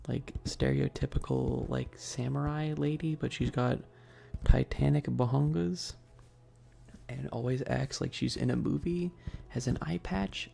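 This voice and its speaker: A man's voice: medium pitch, vocal fry, warm tone. A man chatting casually as a livestream host, bantering with viewers in a slangy, joking way. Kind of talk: casual livestream chat, ASMR streamer.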